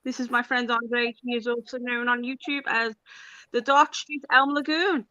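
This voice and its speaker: A woman's voice: a little high, steady tone, moderate pace, pushed and bright, no echo. A woman with a Liverpool accent hosting a casual live stream, chatty and laughing often as she talks to her viewers. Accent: Liverpool (Scouse). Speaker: livestream host. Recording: dull lo-fi stream audio.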